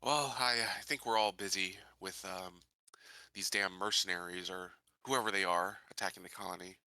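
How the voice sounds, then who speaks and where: A man's voice: sits low, steady tone, normal speed, bright, forceful tone, no echo. A man playing a tabletop role-playing game, moving casually between table talk and in-character speech.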